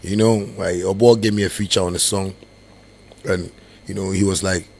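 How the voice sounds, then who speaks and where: A Ghanaian-accented man with a husky, fairly low voice. He rambles through his story as a musician guest in a casual interview and slips in and out of Twi and Pidgin. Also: fairly even intonation, moderate pace. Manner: code-switches into Twi and Pidgin; rambling, filler-heavy storytelling.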